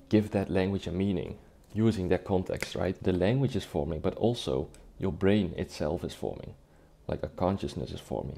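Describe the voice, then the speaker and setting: A young Dutch-speaking man talks straight to the camera in a small, dim room, explaining things in a relaxed, chatty way. His voice sits fairly low and sounds soft and mellow, and he keeps checking in with the listener. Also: vocal fry. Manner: conversational, checks in with "right?" and "okay?". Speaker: language-learning YouTuber.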